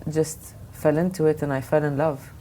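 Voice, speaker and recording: Low-voiced woman, thoughtful guest. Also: fairly even intonation, moderate pace, mellow. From Lebanon. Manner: thoughtful, frequent restarts and fillers. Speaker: art fair director, guest. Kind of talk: podcast interview answers. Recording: faint background noise.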